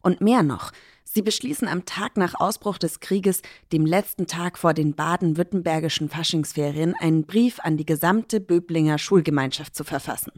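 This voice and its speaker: A woman narrating a news report in a steady, measured, scripted way. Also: lowish voice, no room sound, studio quality.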